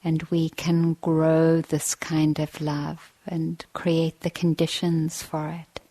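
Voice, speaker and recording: Female meditation teacher, low and fairly even in tone, gentle, measured delivery with generous pauses. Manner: gentle, measured, with long pauses between short phrases. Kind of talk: guided meditation dharma talk.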